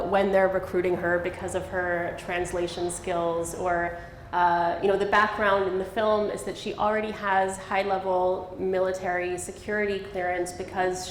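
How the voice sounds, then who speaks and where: A woman's voice: fairly low pitch, a little hiss or hum. Woman linguist in an echoey hall. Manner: thoughtful, explanatory, laughs lightly. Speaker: linguist giving a public talk. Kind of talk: conversational public Q&A. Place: lecture hall. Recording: echoey hall with faint ambience.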